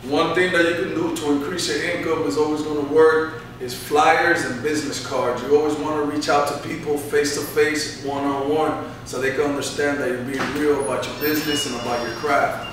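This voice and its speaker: A man's voice: fairly high pitch, little pitch movement, fairly quick, fairly bright tone. A man in his thirties talks in an earnest, motivational way, leaning on "you know" as he answers interview questions. He is heard through a camera mic some distance away in a barbershop that rings with echo, and faint room noise sits underneath. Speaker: barbershop owner. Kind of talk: sit-down interview. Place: barbershop, indoors. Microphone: camera mic from across the room. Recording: echoey room, mic far off.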